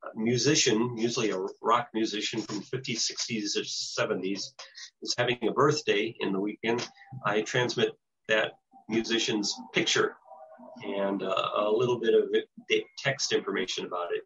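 An older American man giving an informal presentation and answering questions in a halting, hesitant way over a video call. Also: fairly low pitch, fairly even intonation, a bit bright, breathy edge. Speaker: presenter, radio show producer. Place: small home office with bookshelves, indoors. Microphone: computer mic on a video call. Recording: dull lo-fi video call audio.

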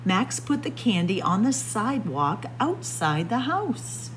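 Expressive, unhurried woman reading to children. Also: mid-range voice, slight background noise. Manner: expressive, deliberate, addressing young listeners as friends. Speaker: librarian storyteller. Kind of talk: picture book read-aloud for children. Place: indoor library room with bookshelves.

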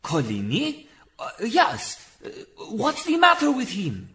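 A man's voice: very high voice, huge pitch swings, slow, pushed and bright, full character voice. An actor playing a timid, stammering young French king who is easily driven to hysteria in an educational audio drama. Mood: nervous. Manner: stammering, hesitant.

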